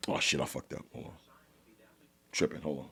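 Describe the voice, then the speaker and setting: A man with a New York accent and a booming voice, co-hosting a podcast in casual, blunt chat, swearing freely. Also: little pitch movement, fairly quick, a bit bright, slightly rough. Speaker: podcast co-host.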